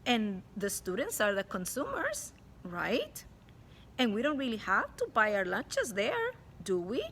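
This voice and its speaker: A woman's voice: a little high, wildly swooping pitch, unhurried, a bit bright, a little hiss or hum, heavily acted. A woman voicing a guarded schoolboy. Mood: eager. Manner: insistent, reassuring. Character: schoolboy brushing off worry, guarded.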